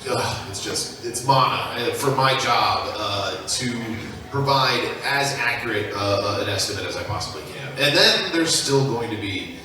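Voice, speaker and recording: A man's voice: medium pitch, lively intonation, moderate pace. An American man on a conference panel, speaking hesitantly with many fillers. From United States. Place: large conference hall. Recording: echoey hall, distant mic, crowd behind.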